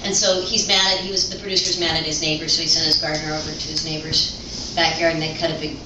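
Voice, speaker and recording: A middle-aged woman telling stories in a chatty, rambling way, her voice fairly low for a woman. She sounds a distance from the mic in an echoey room, with clear noise behind her. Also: level tone, quick pace. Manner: chatty, rambling, frequent restarts. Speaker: actress guest. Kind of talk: casual conversation, telling stories.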